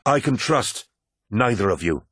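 A man's voice: lowish voice, expressive, measured pace, fairly bright tone, slightly rough, dry recording, clean studio sound, exaggerated voice. Guy doing a proud bossy warlord for an audiobook, defiant, suspicious, really hammers the words. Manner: imperious, emphatic.

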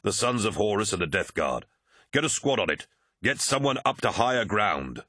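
A man's voice: fairly low pitch, sing-song, moderate pace, bright, forceful tone, dry recording, full character voice. A grave, loyal military captain played by a male narrator, earnest and urgent. The delivery is that of a serious sci-fi audiobook, recorded close and dry.

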